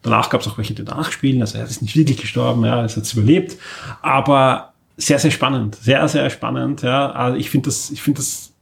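Creaky-voiced man, enthusiastically chatting. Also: mid-range voice, fairly even intonation, brisk. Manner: enthusiastic, wordy monologues with repeated emphasis. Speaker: podcast co-host. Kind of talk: casual enthusiastic chat.

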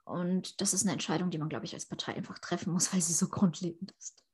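A woman's voice: lowish voice, steady tone, fast talker, darkish tone, slightly rough. A woman in her thirties from Ukraine, answering interview questions in an earnest, thoughtful way. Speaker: interviewee, politician.

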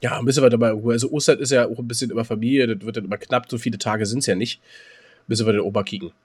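A man's voice: mid-range voice, fairly even intonation, racing, breathy edge. A man co-hosting a podcast in casual banter, chatty and slangy, hopping between half-finished sentences. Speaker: podcast co-host.

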